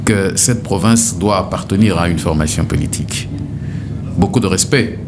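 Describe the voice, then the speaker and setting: A middle-aged man from Kasai in DR Congo, a candidate answering interview questions in a measured, formal way with frequent hesitations. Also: low-pitched, noisy background. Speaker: gubernatorial candidate.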